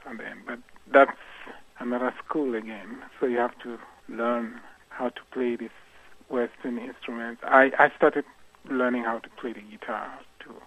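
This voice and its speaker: A man's voice: medium pitch, fairly bright tone. A man from Cameroon, a guest musician in a radio interview, speaking thoughtfully with many fillers and restarts. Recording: tinny phone line.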